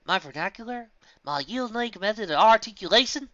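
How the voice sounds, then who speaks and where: A woman's voice: medium pitch, lively intonation, brisk, fairly bright tone, no room sound, lo-fi sound, voice pushed up, natural voice. A woman voicing a plain-spoken, stubborn female farm pony with a folksy American country accent and an exasperated manner.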